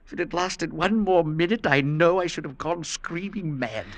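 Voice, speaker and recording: An actor playing a shaken scholar haunted by an unseen presence, talking in halting, stammering bursts in a distraught state, in 1940s radio acting. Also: male voice, on the high side, no room sound, natural voice. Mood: horrified. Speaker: professor.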